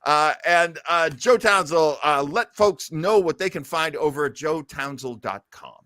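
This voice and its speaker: A man's voice: a little high, unhurried, sharp tone, no room sound, clean studio sound. A man hosting a podcast in casual, jokey chat. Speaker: podcast host.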